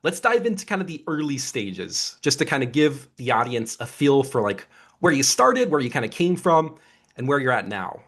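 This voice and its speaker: A man hosts an upbeat podcast interview. His voice is husky, with lively, wide-moving intonation. He speaks casually and conversationally, checking in with the listener as he goes. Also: medium pitch, moderate pace. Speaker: podcast host.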